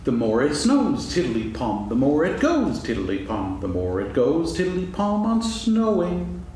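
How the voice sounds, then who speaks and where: Echoey, distant stage recording. Simple-hearted storybook bear played by a man, earnest and musing, highly animated delivery. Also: measured pace, slight background noise. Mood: singsong humming. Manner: earnest, musing, hums in singsong. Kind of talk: staged dramatic reading of a children's story. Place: stage before an audience, seated reading.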